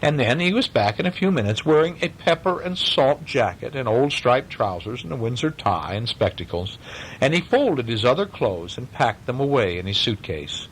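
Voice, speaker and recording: A man's voice: medium pitch, big pitch swings, normal speed, slight background noise, poor audio. A man telling a bedtime story aloud, with chatty, questioning asides as if to a child. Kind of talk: animated bedtime story reading with casual asides.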